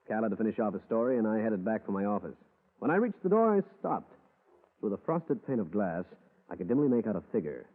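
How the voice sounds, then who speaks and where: Figure: a wisecracking hardboiled detective. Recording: thin phone-like vintage broadcast. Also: male voice, lowish voice. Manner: dry, wisecracking. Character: hardboiled male private detective. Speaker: narrator. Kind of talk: 1940s radio acting. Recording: tinny old radio broadcast.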